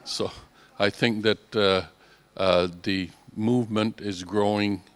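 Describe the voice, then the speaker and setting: A man's voice: on the low side, fairly even intonation, takes it slowly, clean tone. A man giving hesitant off-the-cuff remarks as a conference attendee, with many filler sounds. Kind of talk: impromptu remarks.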